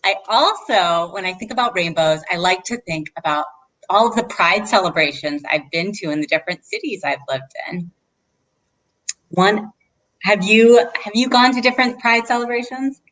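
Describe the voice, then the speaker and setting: Woman, mid-pitched, children's library storytime host, encouraging, chats to young listeners and asks them questions. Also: normal speed, a bit bright, poor audio. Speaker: children's librarian hosting a read-aloud.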